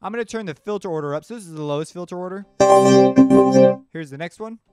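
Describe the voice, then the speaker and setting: A man with a fairly high, clean voice walks through music software in an informal way. He explains each step as he demonstrates it, recorded dry and close, with musical demos around him. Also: fast, smooth, no echo. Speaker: music production tutorial host. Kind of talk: casual screen-recorded software tutorial.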